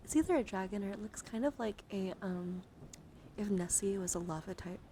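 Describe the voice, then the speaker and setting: Against clearly audible background noise, a woman hosts an ASMR livestream in a mid-range voice. She moves between whispering and soft casual chat. Her manner is playful as she addresses her viewers and shows off items. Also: mid-range voice, fairly quick. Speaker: livestream host.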